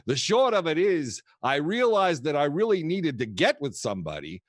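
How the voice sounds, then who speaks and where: An actor playing a kooky old grandfather who tells rambling, theatrical, halting stories to his grandchildren in a comedic audio play. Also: fairly high pitch, big pitch swings, no room sound. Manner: theatrical, wistful, halting storyteller.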